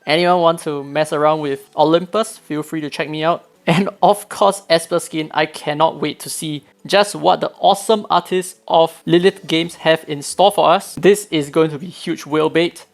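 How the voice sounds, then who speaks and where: A hoarse man reading out game patch notes, then breaking in with his own eager opinions, as a gaming video creator. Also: on the high side, steady tone, normal speed, hardly any pauses.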